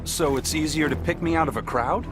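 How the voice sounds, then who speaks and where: A man's voice: fairly high pitch, a little fast, close to an everyday voice. An actor playing a mild, wry, good-natured male speedster superhero in video game battle banter. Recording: game dialogue over loud music.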